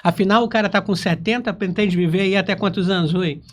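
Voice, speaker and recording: A man's voice: sits high, brisk, a little hiss or hum. A man hosting a casual interview, chatting in an informal, playful and teasing way. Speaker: interview host.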